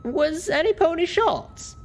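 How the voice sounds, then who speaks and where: A man's voice: very high voice, animated, slow, voice pushed up, heavily acted. A man voicing an anxious, weary young female pony heroine in a story, with a hesitant, questioning manner. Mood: concerned, questioning. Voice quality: raspy from a dry sore throat.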